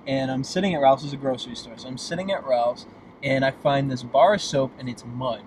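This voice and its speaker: A man in his late twenties from Boston chatting casually and candidly as a guest in a car, with emphatic, expressive delivery. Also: mid-range voice, fairly quick. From Boston, United States. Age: late 20s. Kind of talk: casual chat. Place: inside a moving car. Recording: clear vehicle road noise. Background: car driving noise.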